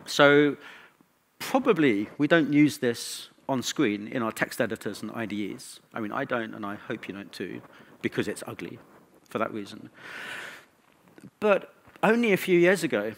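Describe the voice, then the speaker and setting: A man speaking as a conference presenter in a technical talk, with a wry, opinionated manner and emphatic pauses. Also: mid-range voice, lively intonation, creaky.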